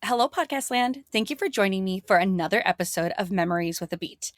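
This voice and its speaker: A woman in her forties hosting a podcast interview, chatty and quick to exclaim and laugh. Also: mid-range voice, animated, soft background music. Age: 40s. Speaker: podcast host. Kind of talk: casual interview chat.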